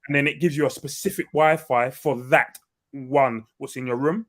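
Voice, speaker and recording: A male co-host bantering in quick, jokey casual chat over a video call. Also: medium pitch.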